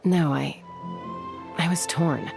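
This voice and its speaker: Game heroine: resolute young tribeswoman, low voice with gravelly fry, composed and reflective. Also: steady tone, takes it slowly, over music, heavily acted. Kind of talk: video game voice acting.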